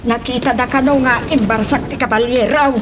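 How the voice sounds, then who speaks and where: Very high, timid Ilocano servant girl, acted by a woman. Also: fairly even intonation, fairly quick, lightly acted. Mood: nervous, deferential. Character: timid, deferential young female servant. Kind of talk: Ilocano radio drama acting. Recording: distant, noisy, clicky recording.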